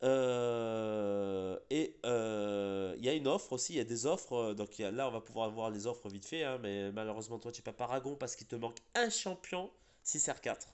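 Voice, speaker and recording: A man talks through a game session in a loose, rambling way, full of fillers and restarts. He sounds a little congested, as if sick. His voice sits at an ordinary middle pitch. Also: normal speed, hardly any pauses, smooth. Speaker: gaming channel host. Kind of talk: casual gaming chat.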